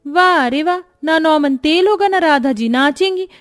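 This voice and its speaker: A woman's voice: high voice, normal speed, faint music behind, voice pushed up, lightly acted. A woman voicing an angry village woman in a cartoon, taunting and indignant as she scolds. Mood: mocking, angry.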